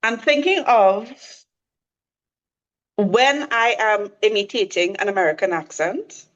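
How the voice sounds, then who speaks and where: A woman's voice: medium pitch, takes it slowly. Trinidadian woman on a lo-fi call. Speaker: guest. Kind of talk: casual conversation.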